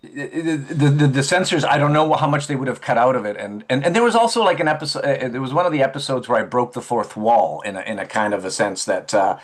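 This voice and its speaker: A man's voice: medium pitch, quick pace, few pauses. Rambling, laughing male actor guest. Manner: rambling, laughing, animated storyteller. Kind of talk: casual interview chat.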